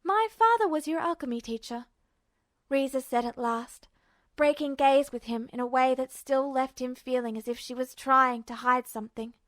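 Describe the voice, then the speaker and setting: A woman reads a fan story aloud in an emotive, measured way. Her voice sits high. The recording is dry and close. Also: level tone, dry recording. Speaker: podfic reader. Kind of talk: audiobook narration of fan fiction.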